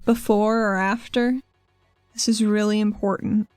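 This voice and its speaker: Female tabletop player, casual improvised roleplay, average pitch. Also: flat delivery, a little slow, a little mellow, clear, resonant tone, dry recording, faint music behind.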